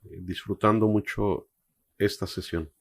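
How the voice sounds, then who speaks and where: A man giving reflective film commentary as a co-host, explaining things phrase by phrase in a measured way. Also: lowish voice, fairly even intonation, gravelly, fairly bright tone, dry recording.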